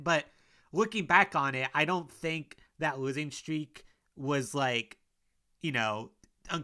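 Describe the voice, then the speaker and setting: A young man with a stuffy, sniffly cold delivers a casual solo rant. His voice is bright and forceful, with a smooth, crisp tone. He sounds candid and frustrated, and he rambles. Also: medium pitch. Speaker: sports podcaster. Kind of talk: casual opinion monologue.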